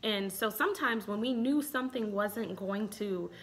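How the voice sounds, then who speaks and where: A woman in her thirties with an American accent, talking in a casual, expressive way as a relationship expert giving a review. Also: medium pitch, normal speed. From Chicago, United States. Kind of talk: casual vlog commentary. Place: small room indoors at home.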